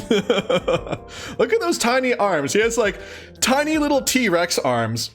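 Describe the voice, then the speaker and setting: A playful, chatty man reading a webcomic aloud and commenting casually on a livestream, often giggly and thinking out loud. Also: high-pitched, wildly swooping pitch, slow, faint music behind. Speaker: streamer.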